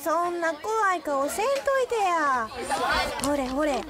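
Woman doing a cheeky rich kansai high school girl, swoops all over, loud crowd chatter behind her. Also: animated, measured pace. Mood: cajoling. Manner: blunt, cheeky, pushy. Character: brash, hot-tempered rich high school girl, unrepentant schemer. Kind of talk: radio drama acting.

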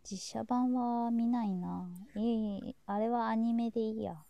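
A woman's voice: on the high side, steady tone, normal speed, vocal fry, no room sound, muffled recording. A woman chatting casually on a talk show, reflecting and prompting the others. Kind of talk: casual chat. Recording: soft, faintly noisy room ambience.

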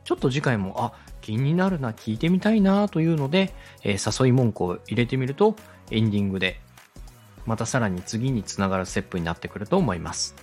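A man's voice: mid-range voice, lively intonation, a little fast, a little mellow, soft background music. A man giving a polite, step-by-step explanatory talk as a podcast host and instructor. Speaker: podcast host and radio director teaching podcast making.

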